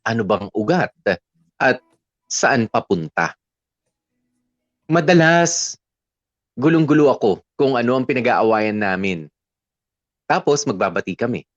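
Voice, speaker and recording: A man's voice: medium pitch, sing-song, measured pace, long pauses, dry recording. A man with a Filipino accent playing a patient, troubled young boyfriend, narrating his love story in a radio drama. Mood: reflective, puzzled. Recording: slightly muffled, music underneath. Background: background music.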